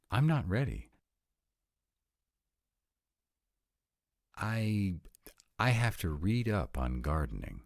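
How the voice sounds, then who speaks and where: A man's voice: low-pitched, darkish tone, no echo, heavily acted. A man playing a sarcastic, reluctant but devoted vampire mate in a scripted roleplay audio drama, with a dry manner. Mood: reluctant, stalling.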